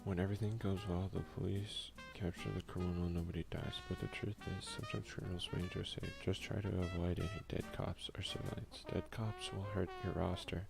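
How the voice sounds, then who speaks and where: A man's voice: low voice, level tone, fairly quick, creaky, hardly any pauses, poor audio. A man hosting a casual ASMR let's play, talking informally with muttered slangy asides and reading game text aloud. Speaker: gaming video host. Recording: music behind the voice.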